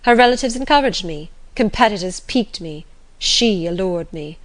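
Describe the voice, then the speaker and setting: A woman voicing a passionate, brooding, imperious gentleman in a classic novel audiobook. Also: mid-range voice, lively intonation, moderate pace, close to an everyday voice. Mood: bitter, impassioned. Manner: impassioned, imperious, urgent.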